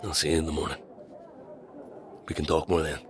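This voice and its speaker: A man playing a troubled, self-doubting young man in a dark fantasy audio drama, with a gravelly voice and hesitant, brooding delivery. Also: extremely low pitch, flat delivery, brisk, noisy background. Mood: weary, hesitant.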